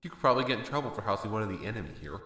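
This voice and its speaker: Worn out american soldier in an audio drama, sharp bright voice, hesitant, echoey room. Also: male voice, lowish voice, big pitch swings, racing. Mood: wary, shaken. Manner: hesitant, faltering, plain-spoken. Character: weary, wounded, wary American soldier, downed airman. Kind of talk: family audio drama acting. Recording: echoey room, faint background noise.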